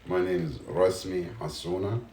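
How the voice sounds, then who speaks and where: An older man from the Gaza Strip introducing himself slowly and measuredly. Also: low-pitched, huge pitch swings, gravelly, dark tone, roomy sound, slight background noise.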